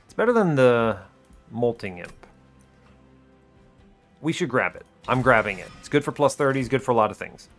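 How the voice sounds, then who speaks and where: A man's voice: mid-range voice, sing-song, normal speed, pauses often, faint music behind. A man giving casual video game commentary, thinking aloud in a wry, chatty way with short clipped reactions. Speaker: video game streamer explaining his play.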